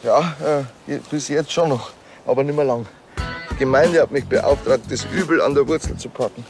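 A man's voice: mid-range voice, normal speed, darkish tone, faint music behind, lightly acted. An actor playing a cheeky, flustered young handyman with a colloquial, flippant manner in a TV drama. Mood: bluffing. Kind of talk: naturalistic TV drama acting.

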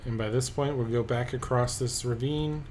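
A man's voice: medium pitch, moderate pace. Guy talking over his game, medium voice, lots of ums, bit of background hiss. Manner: relaxed, rambling, thinks aloud with many ums. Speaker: let's play host. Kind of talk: casual game commentary. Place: at a computer, voice-over of gameplay.

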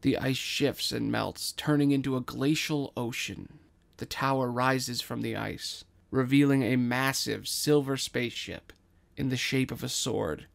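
Male narrator for scripted audio fiction: medium register, gravelly edge, reading stage directions. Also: medium pitch.

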